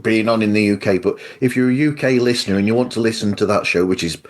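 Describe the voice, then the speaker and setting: A man's voice: lowish voice, fast. A man hosting a podcast, presenting in a conversational way. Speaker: podcast host.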